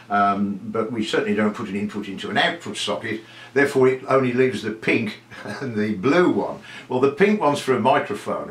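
An older man giving an informal technical explanation in an unhurried, hesitant, modest way. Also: on the low side, fairly bright tone. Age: 60s or older. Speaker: presenter of a vintage audio technical video. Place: small home study with desk and bookshelves.

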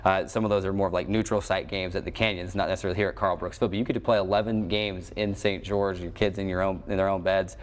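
Low-voiced young American sportscaster. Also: male voice, little pitch movement, fast talker, hardly any pauses. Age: 20s. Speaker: sports show host. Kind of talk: sports broadcast interviewing. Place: small indoor locker-style studio set.

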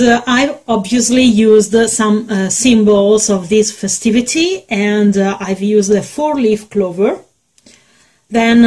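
A young woman with an Italian accent, Italian her first language, hosting a face painting tutorial from a small bedroom, speaking haltingly with many fillers. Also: mid-range voice, darkish tone. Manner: slow, hesitant, many fillers. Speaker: makeup tutorial host.

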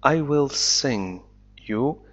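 A man teaching English, reading a novel aloud slowly and deliberately and explaining it line by line, an Arabic speaker. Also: medium pitch, lively intonation. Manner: slow deliberate reading, then quick explanations. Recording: dull lo-fi recording.

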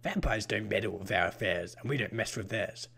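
Man voicing a husky, low, menacing monster. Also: bright, forceful tone, heavily acted. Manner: odd, deliberate way of talking with drawn-out phrases. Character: menacing, haughty purple-skinned vampire-like killer, male, unhurried.

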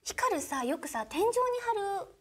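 A young adult woman chatting casually as a voice actress on a talk show, giggly and animated. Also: very high-pitched, measured pace, a little hiss or hum. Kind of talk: casual chat. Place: small indoor studio set, seated at a table. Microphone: lapel mic.

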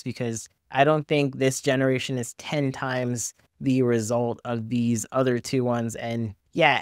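Male tech reviewer, conversational and explanatory, very clean clear tone, recorded dry in a small home studio. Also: medium pitch, no echo. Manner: candid, explanatory, casual. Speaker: tech review video host. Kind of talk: conversational software review and tutorial commentary.

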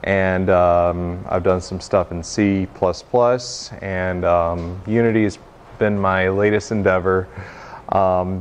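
A young man giving an informal technical demo to a room, speaking haltingly with frequent pauses. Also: on the low side, darkish tone. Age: young adult. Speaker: presenter giving a software demo. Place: meeting room, standing by projection screen. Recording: noisy, muffled room recording.

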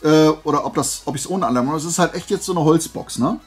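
A man's voice: a little high, fast, noisy background. A man hosting a casual YouTube haul vlog, talking informally in a rambling way as he thinks aloud. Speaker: YouTube host.

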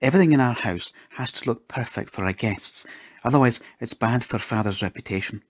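A man's voice: medium pitch, a little fast, breathy edge, no room sound, lightly acted. Guy doing a posh little boy in a story, earnest, boastful, dry close sound. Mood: proud, explaining. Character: self-important, upper-class young boy.

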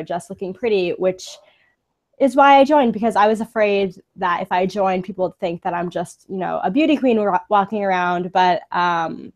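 Upbeat young woman on a video call. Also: medium pitch. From San Francisco Bay Area, USA. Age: 20s. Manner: upbeat, laughs often, many fillers. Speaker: interview guest, pageant delegate. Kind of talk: casual live video interview. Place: indoor room, sitting on a sofa. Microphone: webcam video call.

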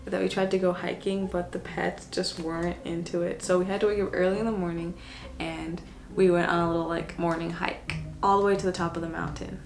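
A woman's voice: fairly low pitch, little pitch movement, brisk, creaky. A woman sitting in a home office, recounting her camping trip in an easygoing vlog. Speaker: vlogger. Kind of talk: sit-down vlog narration. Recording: roomy sound with music.

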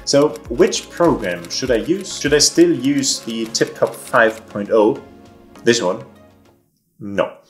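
A man's voice: mid-range voice, animated, slow pace, soft background music. A young man whose first language is German, talking through a casual vlog in an earnest way with dry ironic asides, recorded at his desk in a home office. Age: young adult. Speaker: vlogger and social auditor. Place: home office room, indoors.

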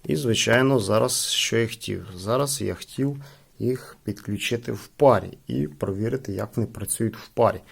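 A man's voice: medium pitch, gravelly, breathy edge. A man hosting a casual product review, talking informally to his viewers as friends. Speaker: gadget unboxing channel host.

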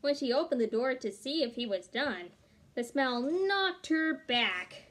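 A woman's voice: high-pitched, lively intonation, measured pace, fairly bright tone, slight background noise. A young adult woman narrating a children's storybook aloud in a small room at home. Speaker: storybook reader.

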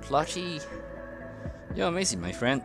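A man's voice: fairly high pitch, sing-song, a little slow. A man doing playful, casual gameplay commentary, chattily reacting and echoing what characters say. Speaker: game streamer. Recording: game music under the voice. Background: video game music.

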